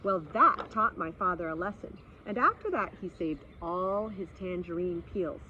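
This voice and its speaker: A woman reading a story aloud expressively for children, pausing to explain and speak to her young listeners. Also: lowish voice, animated, bright, forceful tone. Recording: slightly dull sound with faint background noise.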